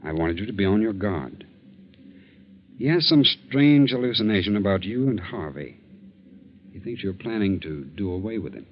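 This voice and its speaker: An actor playing a grave, measured, authoritative family doctor in 1940s radio drama style. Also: male voice, on the low side, animated, close to an everyday voice. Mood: grave, confiding. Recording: dull, noisy 1940s radio recording.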